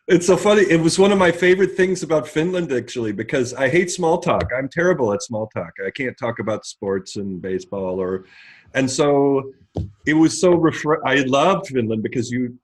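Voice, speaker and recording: A man speaking candidly and self-deprecatingly in a group conversation, halting and restarting as he reflects. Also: medium pitch, animated. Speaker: discussion participant.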